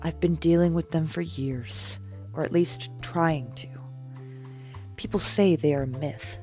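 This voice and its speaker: Woman acting a low-voiced, guarded young vigilante. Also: measured pace, warm tone, soft background music. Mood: serious, weary. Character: guarded, weary young female vigilante superhero. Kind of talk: audio drama acting.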